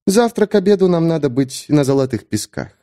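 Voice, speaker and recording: A man voicing a calm, condescending, centuries-old female vampire in an audiobook. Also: fairly high pitch, a little fast, voice pushed up, lightly acted. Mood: soft, commanding. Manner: calm, soft, lazily superior.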